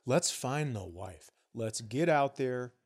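A man's voice: medium pitch, expressive, takes it slowly, husky. A man co-hosting a comedy podcast, giving blunt, profane, mock-authoritative takes in casual banter.

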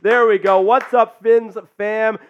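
A man's voice: high-pitched, steady tone, slow pace, muffled recording. A man hosting a live sports chat show, upbeat and hyped, reading out viewer comments. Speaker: podcast host.

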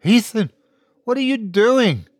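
A man's voice: a little high, sing-song, unhurried, slightly rough, dry recording, pitched above the performer's own voice, exaggerated voice. A man voicing a frightened but defiant young woman in a horror story, strained and emphatic. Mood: alarmed.

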